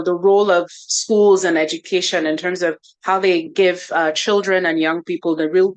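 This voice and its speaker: A woman moderating a panel, thanking a speaker and passing the question on with fillers. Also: on the low side.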